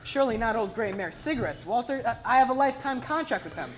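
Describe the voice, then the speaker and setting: A woman's voice: a little high, expressive, fast, a little hiss or hum, lightly acted. A woman acting on a school stage as a self-important veteran radio performer with theatrical hesitations. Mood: smug.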